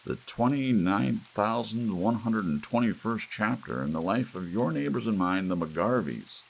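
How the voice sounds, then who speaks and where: A man's voice: on the low side, animated, measured pace, creaky, a little hiss or hum, pitched above the performer's own voice, poor audio. A man voicing a grandiose television soap-opera announcer in a storybook, declamatory and grand.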